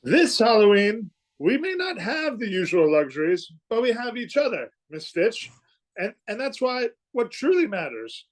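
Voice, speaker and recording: A man doing a high-pitched, earnest townsman. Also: very animated, normal speed, low-quality recording. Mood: earnest, hopeful. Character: earnest, hopeful townsman in wartime. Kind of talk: old-time radio play acting.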